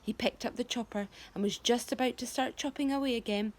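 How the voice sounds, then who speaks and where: A woman, a teacher, reading a children's book aloud with expressive, storybook delivery and hushed moments. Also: a little high, brisk.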